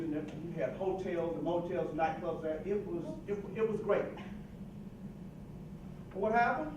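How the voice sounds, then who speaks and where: A man's voice: fairly high pitch, highs cut off. An older man from Georgia speaking passionately and indignantly in a panel discussion, recorded from afar in an echoey room. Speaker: roundtable participant. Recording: distant echoey room mic with noise.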